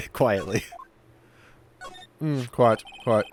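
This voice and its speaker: A man's voice: medium pitch, animated, drawn-out words, slight background noise. A man hosting a let's play with casual, playful, wisecracking commentary. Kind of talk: casual joking game commentary.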